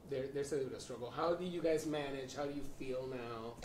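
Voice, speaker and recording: A man's voice: mid-range voice, a little fast, a little mellow, far from the mic. A man from Venezuela moderates a panel in a loose, casual way, laughing often and leaning on fillers. His voice sounds roomy and set back from the microphone, with a faint layer of background noise. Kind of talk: panel discussion.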